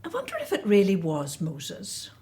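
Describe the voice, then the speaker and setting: A woman's voice: medium pitch, normal speed. Actress as emotional, swooping Hebrew mother. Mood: anxious. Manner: emotional, expressive. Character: devout, anxious, loving older Hebrew mother. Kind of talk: scripted radio drama acting.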